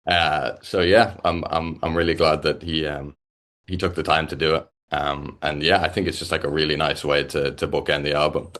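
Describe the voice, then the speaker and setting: A man's voice: very deep voice, little pitch movement, a bit bright. A young Irish man, a musician guest, chatting casually in an interview with lots of fillers and restarts. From Ireland. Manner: halting, many fillers and restarts.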